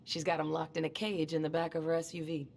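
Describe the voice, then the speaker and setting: A woman's voice: low-pitched, monotone, quick pace, vocal fry, a little mellow. An actress playing a friendly, chatty small-town policewoman who talks in a colloquial, teasing way in a video game cutscene. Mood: amused. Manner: colloquial, joking. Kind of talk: naturalistic video game voice acting.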